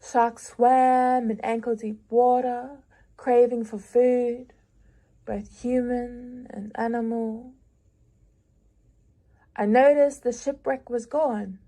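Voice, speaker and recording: A young woman reads her own lyrical writing aloud, taking her time with each phrase. Her voice sits somewhat high, and her delivery is unhurried, measured and reflective, with long pauses between lines. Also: steady tone, mellow. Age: young adult. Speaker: prize-winning young writer.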